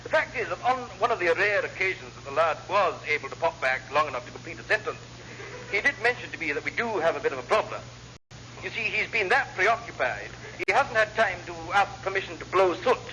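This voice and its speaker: An actor playing a cheerfully apologetic, blithely incompetent naval lieutenant who rambles and repeats himself in an old radio sitcom. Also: male voice, high voice, lively intonation, a little fast, sharp tone. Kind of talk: classic radio sitcom acting. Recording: noisy old radio comedy recording.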